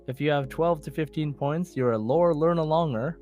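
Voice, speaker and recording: A man's voice: fairly high pitch, moderate pace, a little mellow, soft background music. A man hosting a podcast in a casual, chatty style, as a quizmaster.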